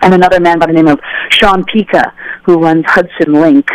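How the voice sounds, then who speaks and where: Lively storytelling woman, dry studio sound. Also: fairly low pitch, brisk, dry recording, rough recording. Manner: animated, acts out dialogue in voices. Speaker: interview guest. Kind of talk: casual conversational storytelling.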